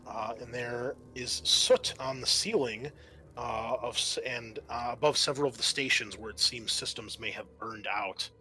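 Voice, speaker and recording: A man's voice: mid-range voice, moderate pace, pushed and bright. A man narrating a tabletop roleplaying game as game master, mixing description with informal table talk.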